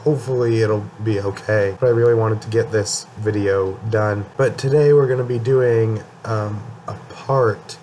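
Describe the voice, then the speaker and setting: A man's voice: on the low side, steady tone, normal speed, few pauses, mellow. Young male vlogger, voice worn from a cold, rambling delivery, faint hiss behind. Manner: rambling, many ums and restarts. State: getting over a cold, voice a bit worn. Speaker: music channel host. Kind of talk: casual album ranking talk.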